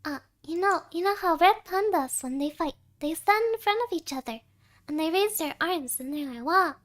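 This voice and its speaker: Very high-pitched cutesy young woman. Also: lively intonation, normal speed. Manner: cutesy, soft interjections, mixes in Japanese words. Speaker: VTuber co-host. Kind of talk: casual livestream chat.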